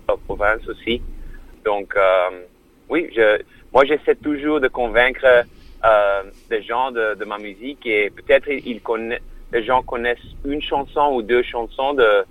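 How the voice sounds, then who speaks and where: A man, a musician guest on a radio interview, speaking haltingly, with many fillers and restarts. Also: medium pitch, fairly bright tone, rough recording. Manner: non-native French.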